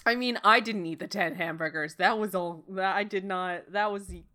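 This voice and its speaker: A young woman co-hosting a podcast, playful and quick with jokes, laughing often. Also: medium pitch, pushed and bright. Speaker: podcast co-host. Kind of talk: casual podcast chat.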